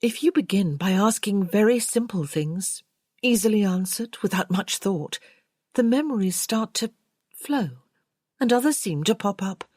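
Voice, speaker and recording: A woman voicing a bright, keen, polite young gentlewoman in an audiobook. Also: mid-range voice, lively intonation, measured pace, no room sound, clean studio sound, voice pushed up. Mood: proud, modest.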